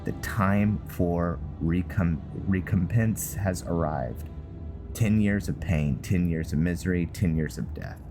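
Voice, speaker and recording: A man voicing a bitter, boastful, vengeful mad alchemist as he reads a fantasy journal aloud, theatrical and halting. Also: sits low. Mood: vengeful menace. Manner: theatrical, gloating, halting reading. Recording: loud music behind the voice.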